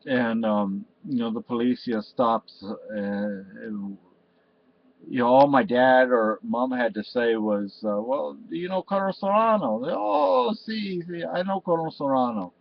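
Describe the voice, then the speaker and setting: A man's voice: medium pitch, animated, unhurried, vocal fry, dry recording. An older man from Spain talking to his webcam at home in a meandering, emotional way, full of restarts and "you know". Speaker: vlogger. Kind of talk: rambling personal monologue to camera. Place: cluttered room at home, indoors. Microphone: webcam in front of him. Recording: slightly dull lo-fi webcam audio.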